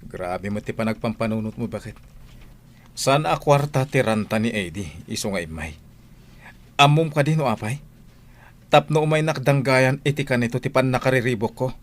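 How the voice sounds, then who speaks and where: A man acting a worried, prayerful husband and father who speaks gently and patiently in a scripted audio drama. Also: medium pitch, expressive, moderate pace, close to an everyday voice. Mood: indignant, pleading.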